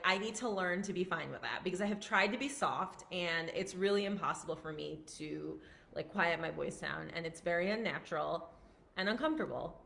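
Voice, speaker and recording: A woman in her thirties, a physician and life coach, talking casually on a live stream in a loud, projecting voice with lots of chatty asides. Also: lowish voice, gravelly, slight background noise. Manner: chatty, many fillers and restarts.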